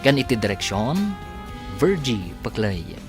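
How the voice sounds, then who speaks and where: A man with an Ilocano accent announcing radio drama credits in a scripted, projected style with drawn-out endings. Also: lowish voice, expressive, music in the background.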